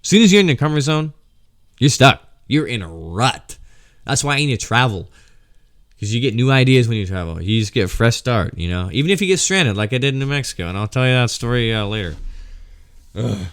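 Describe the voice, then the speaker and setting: A man in casual comedic storytelling mode as a host, animated and slangy, swearing freely and acting out quotes and sound effects. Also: mid-range voice, fairly quick.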